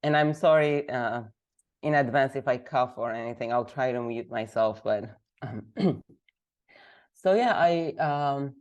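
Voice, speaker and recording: A woman's voice: extremely low pitch, measured pace, a little mellow. A woman from Lebanon, a guest on a video call interview, talking casually and hesitantly with many fillers while sick. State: sick, voice affected.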